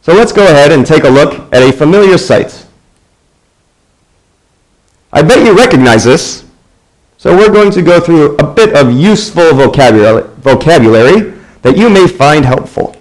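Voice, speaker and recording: Man in his thirties from the US, English teacher, slightly high voice, lively rising intonation, clear and deliberate. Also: poor audio. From United States. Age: 30s. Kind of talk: scripted classroom narration.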